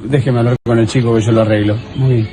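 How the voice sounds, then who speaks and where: An actor playing an excitable, lecherous man with an Argentine accent in a comedic radio play. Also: mid-range voice, quick pace, over music, natural voice. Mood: eager, exasperated. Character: excitable, lecherous adult man, boy's guardian Ruperto.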